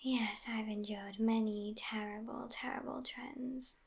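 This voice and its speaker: Slow, whispering vampire girlfriend, acted by a woman. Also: a little high, fairly even intonation, heavily acted. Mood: wistful, intimate. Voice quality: breathy whisper. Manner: unhurried, soft, intimate whispering. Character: sassy, outspoken immortal vampire girlfriend, world-weary and teasing. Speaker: ASMR roleplay performer. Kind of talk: whispered ASMR roleplay.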